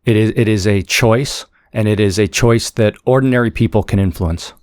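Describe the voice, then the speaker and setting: A man's voice: fairly low pitch, normal speed, a little mellow, clear, resonant tone, dry recording. A man speaking analytically as an expert guest in an interview. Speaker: guest author, foreign policy scholar.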